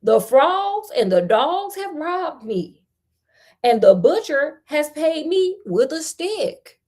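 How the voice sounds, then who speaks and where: An American woman plays a foolish, stubborn storybook peasant man. The voice is high and swoops about, full of blustering indignation. His complaints are drawn out. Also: sing-song, takes it slowly, darkish tone, heavily acted. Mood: grumbling.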